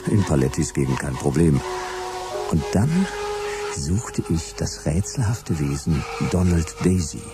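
Hard-boiled radio detective: deep, dry, terse, world-weary, with swinging inflection. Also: male voice, sing-song, measured pace, heavily acted. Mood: wry narrating. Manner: laconic, sardonic, terse. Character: weary, dry-witted private detective, man, narrating his case. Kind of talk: hard-boiled radio play narration and dialogue. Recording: music behind the voice.

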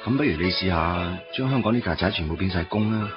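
A wisecracking young man in a radio drama, anxious to please. His pitch sits on the low side. Music plays clearly behind a slightly dull recording. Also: lively intonation, fast. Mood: joking. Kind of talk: Cantonese radio drama acting.

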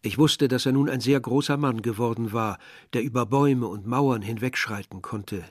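An actor playing a reflective first-person narrator of a village youth, measured and pensive in a literary radio play. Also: male voice, mid-range voice, no room sound, studio quality, close to an everyday voice.

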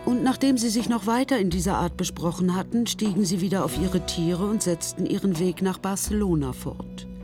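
A woman narrating a literary radio play from a script. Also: on the low side.

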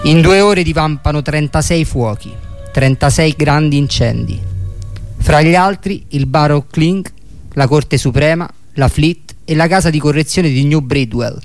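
Higher-voiced man narrating over music. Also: measured pace. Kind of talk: scripted historical radio documentary narration. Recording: music playing behind the voice.

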